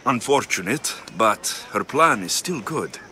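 A man's voice: mid-range voice, lively intonation, a little slow, pushed and bright, a little hiss or hum. An actor in his thirties voicing a blunt mercenary warrior in a video game, curt and demanding. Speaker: game character.

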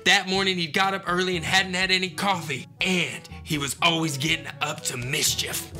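A man in his thirties reading a children's book aloud as a comedic host, playful and prone to loud high braying. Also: steady tone, normal speed, faint music behind. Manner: playful, bursts into loud high donkey brays. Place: indoor studio with yellow couch.

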